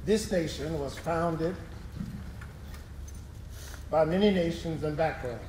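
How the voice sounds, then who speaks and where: A man on stage playing a solemn, urgent political leader, speaking deliberately and emphatically in readers theatre oratory. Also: fairly high pitch. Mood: solemn, resolute. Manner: deliberate, emphatic, pausing between phrases. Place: live stage performance in a hall. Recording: echoey live hall, clear background noise.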